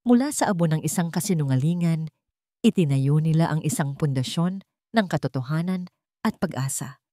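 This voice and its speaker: A woman's voice: low-pitched, expressive, moderate pace, warm tone, no room sound, studio quality. A Filipino woman reading a scripted romantic drama story in an earnest, sentimental storyteller's manner. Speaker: storyteller, narrator of an online story channel.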